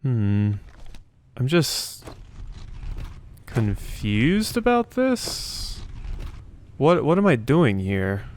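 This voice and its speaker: A man's voice: medium pitch, wildly swooping pitch, very slow, pauses often, darkish tone, slight background noise. A man giving casual gameplay commentary, thinking aloud and questioning himself as he goes. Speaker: let's play host.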